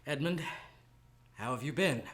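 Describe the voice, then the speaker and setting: A man's voice: medium pitch, expressive, a little slow, a bit bright. A man playing a charming, earnest star actor and philanthropist in a live radio drama, courteous in manner. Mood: gracious, earnest. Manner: earnest, courteous, smooth-talking. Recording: noisy live studio stage recording.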